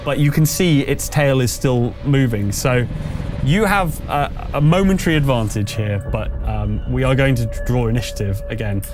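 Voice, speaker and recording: A man running a tabletop game as game master, improvising narration in a conversational, halting way. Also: mid-range voice, expressive. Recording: music behind the voice. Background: music.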